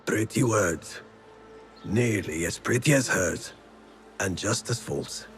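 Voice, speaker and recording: Scornful suspicious guy, lowish voice, slow n accusing, video game drama. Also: expressive, soft background music. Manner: accusing, contemptuous. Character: suspicious, scornful, protective man of means. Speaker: game character.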